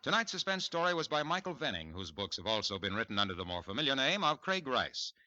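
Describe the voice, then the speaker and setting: Bright-voiced 1940s radio announcer. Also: male voice, mid-range voice, big pitch swings, faint music behind. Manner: formal, measured. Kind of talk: formal closing credits. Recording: dry lo-fi 1940s radio recording.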